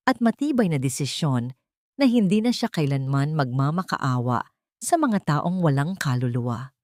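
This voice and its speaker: A woman's voice: on the low side, darkish tone, dry recording, studio quality. A woman with a Filipino accent narrating a dramatic scripted story.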